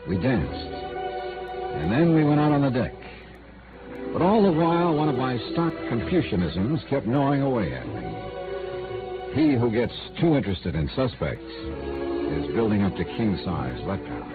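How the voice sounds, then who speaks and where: An actor playing a suspicious, world-weary insurance investigator in a radio noir drama, with wry, understated delivery. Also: male voice, medium pitch, sing-song, unhurried. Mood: brooding narration. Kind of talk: 1950s radio noir acting. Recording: tinny old radio recording, organ music.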